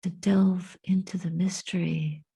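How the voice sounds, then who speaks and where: A woman giving a guided meditation and reflection as a Buddhist teacher, speaking softly and breathily in measured, contemplative phrases with long pauses. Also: on the low side, flat delivery. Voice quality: soft, breathy.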